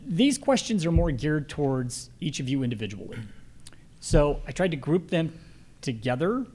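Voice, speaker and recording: A man's voice: fairly high pitch, big pitch swings, moderate pace, darkish tone, slight background noise. An American man speaking as a radio host and moderator at a live candidate forum.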